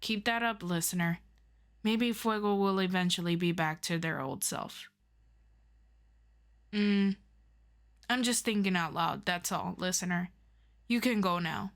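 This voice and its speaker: A woman playing a calm, formal superior of a superhero team in an audio roleplay, asking measured, probing questions. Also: fairly low pitch. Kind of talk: scripted audio roleplay addressed to the listener.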